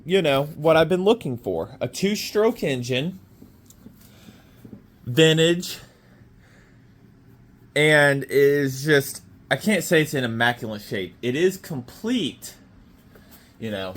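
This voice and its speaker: A man's voice: a little high, expressive, takes it slowly, long pauses. A man with a sore throat, his voice a little off, rambling casually in a home garage as he walks through an old motorcycle. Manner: rambling, with many fillers and restarts. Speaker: motorcycle restoration vlogger. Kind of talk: casual walkaround vlog.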